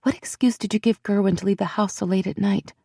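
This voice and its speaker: Woman voicing an earnest young woman, firm, steady, mellow, dry sound. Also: mid-range voice, fast, no room sound, voice pushed up, lightly acted.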